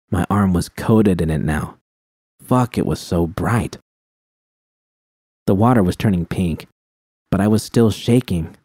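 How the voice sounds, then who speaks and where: Somber man reading low and dark. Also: no room sound, clean studio sound. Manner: quiet, somber, measured reading. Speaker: audiobook reader. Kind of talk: reading first-person fan fiction aloud.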